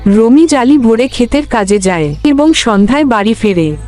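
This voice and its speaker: A woman's voice: on the high side, animated, moderate pace, a little mellow. A woman narrator reading a scripted story for a cartoon. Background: background music.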